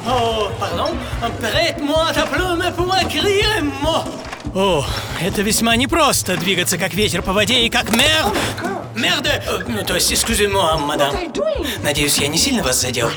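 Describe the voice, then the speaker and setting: An actor playing a vain, excitable young Belgian inventor in an audio play, theatrical and boastful, dropping French phrases into his monologue. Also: high-pitched, moderate pace. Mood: giddy, flustered. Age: 20s. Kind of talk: dramatized first-person monologue in an audio play. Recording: studio voice over music.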